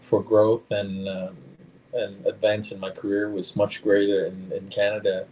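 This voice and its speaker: A man's voice: on the low side, monotone, measured pace, darkish tone, slight background noise, poor audio. A middle-aged man, a guest talking casually and hesitantly with many fillers and restarts. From France. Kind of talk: casual conversation.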